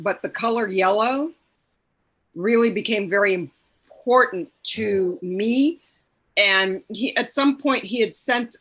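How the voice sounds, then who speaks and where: A woman who acts, chatting casually on a panel, haltingly thinking aloud with frequent pauses. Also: medium pitch, pushed and bright. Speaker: actor in an audio play cast.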